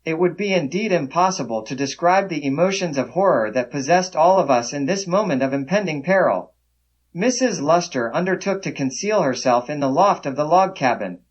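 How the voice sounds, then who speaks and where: Husky frontier memoirist read by a man, dull recording. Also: on the high side, expressive, moderate pace. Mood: solemn, grave. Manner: formal, old-fashioned florid storytelling. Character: man recounting his frightening boyhood frontier ordeal, solemn memoirist. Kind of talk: dramatic reading of an old memoir. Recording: dull, band-limited sound.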